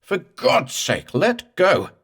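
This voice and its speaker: A terrified man in a storm-tossed boat, his voice raw and shrill, voiced by a male storyteller. He shrieks in a high, thin pitch, far above his usual deep voice. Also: huge pitch swings, takes it slowly, creaky, fairly bright tone, slightly rough, exaggerated voice. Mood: frantic. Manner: screeching, raw voice.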